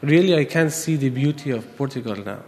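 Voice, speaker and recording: A man around thirty with an Afghan accent, giving interview answers in halting, repetitive second-language English. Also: medium pitch, animated, darkish tone. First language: not English. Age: 30s. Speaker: musician, interviewee. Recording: long reverberant room echo.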